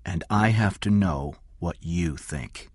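Man voicing an urgent, hushed, determined young woman. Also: low-pitched, slow pace, slightly rough, no room sound, studio quality, full character voice. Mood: insistent. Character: anxious, determined young woman, protective and insistent.

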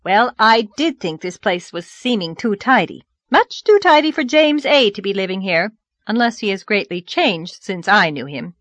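A chirpy little lady near fifty , as a woman narrator plays her, pitched high and very animated. She is a brisk, bossy storybook wife who sounds completely sure of herself. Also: no echo. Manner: brisk, chirping, self-assured. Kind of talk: audiobook character voice.

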